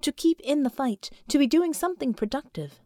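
A woman's voice: sits high, lively intonation, brisk, dark tone, dry recording, studio quality. A woman reading a story aloud as scripted audiobook narration.

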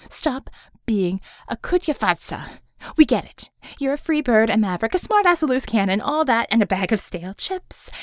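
A woman's voice: on the high side, dry recording, studio quality. A woman voicing a furious, foul-mouthed young woman in an audiobook, delivering an emphatic scolding tirade. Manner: emphatic, cursing tirade peppered with foreign swear words.

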